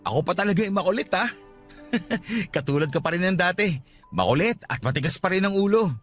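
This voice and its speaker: Radio drama lead: devoted young Filipino man, fairly high voice, patient, gentle, respectful, music beneath. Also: animated, fairly quick. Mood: coaxing. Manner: soft-hearted, earnest, respectful.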